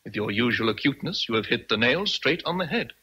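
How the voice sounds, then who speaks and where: An actor playing a brooding, teasing British gentleman in love with his governess, speaking deliberately in a period radio drama. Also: mid-range voice, lively intonation, husky, low-quality recording.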